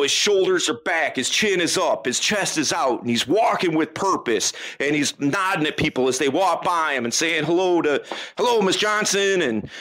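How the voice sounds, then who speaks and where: A man's voice: a little high, fairly bright tone. A man talking as a guest in a casual interview, emphatic and loose, often tossing in "man" as he makes his points. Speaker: podcast guest, dating coach.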